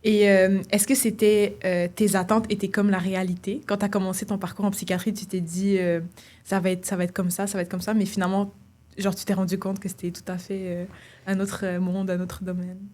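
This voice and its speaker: Young woman, student podcast host; casual interviewer, friendly, mid-pitched voice. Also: flat delivery, a little fast, a little mellow. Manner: friendly, informal questions with fillers.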